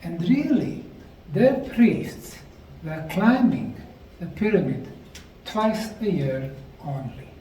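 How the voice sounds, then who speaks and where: A man with a Bosnian accent giving a deliberate, emphatic lecture to a live audience. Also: high-pitched, very animated, mellow. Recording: echoey room, mic far off, faint noise.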